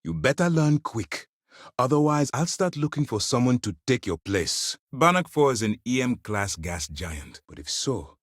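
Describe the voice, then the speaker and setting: An actor playing a stern, principled space navy commander in a video game, speaking in a formal, deliberate, authoritative way. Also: male voice, medium pitch, very animated, no echo, studio quality. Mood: angry, stern, then calm authority. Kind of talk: scripted dramatic game dialogue.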